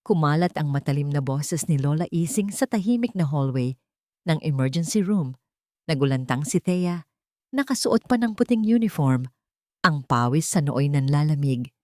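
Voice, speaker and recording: Casting: Filipino-accented woman narrator, low voice, dry recording, for dramatic scripted love stories. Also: darkish tone, no echo. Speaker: story narrator.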